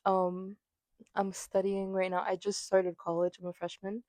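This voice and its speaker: A woman's voice: mid-range voice, little pitch movement, measured pace, darkish tone, dry recording. A woman in her early twenties, raised in New York, co-hosting a podcast in a chatty, giggly way with many fillers. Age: 20s. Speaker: podcast co-host. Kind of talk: casual chat. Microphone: small desk mic.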